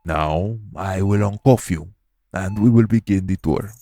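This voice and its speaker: A man acting a formal, patient male monster doctor with measured, stern speech in an audio roleplay. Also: deep voice, mellow, a little hiss or hum.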